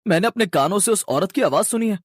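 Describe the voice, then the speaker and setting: A man's voice: sits high, expressive, fast talker, slightly rough, no room sound, clean studio sound. A man playing a scared, insistent college-age son in a horror story, anxious and stammering. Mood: pleading insistence.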